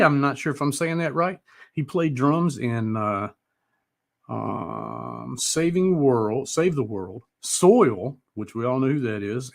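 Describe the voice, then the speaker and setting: A man's voice: medium pitch, gravelly. A middle-aged man hosting a live music show in casual, rambling, mildly profane interview chat.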